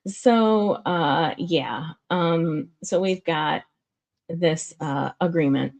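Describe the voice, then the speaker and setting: A woman's voice: sits low, drawn-out words, creaky, a little mellow. A woman with a nasal voice, hosting a live-stream commentary, walks through a contract step by step with many fillers and restarts. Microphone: streaming setup mic.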